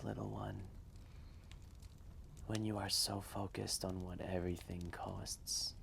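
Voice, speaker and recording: A man playing an ancient, wise and gentle dragon, telling a story in a hushed, breathy, measured voice with long pauses. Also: deep voice, slight background noise, exaggerated voice. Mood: gentle, reassuring. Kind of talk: slow intimate storytelling roleplay, ASMR-like.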